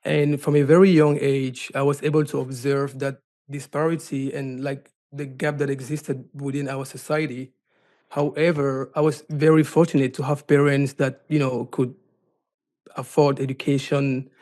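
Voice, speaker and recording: A man with a Cameroonian accent telling a personal story as an interview guest, haltingly, often dropping in "like". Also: medium pitch, flat delivery, a little mellow. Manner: halting, frequent "like" as filler. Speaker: podcast guest, life coach and advocate.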